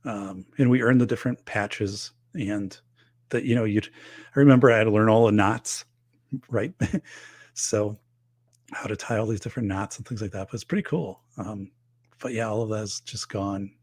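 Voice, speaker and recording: Husky-voiced man, losing his voice. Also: lowish voice, fairly even intonation, normal speed, darkish tone, dry recording. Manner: rambling, with many fillers and restarts. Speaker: livestream host reading viewer comments. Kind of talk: casual live chat.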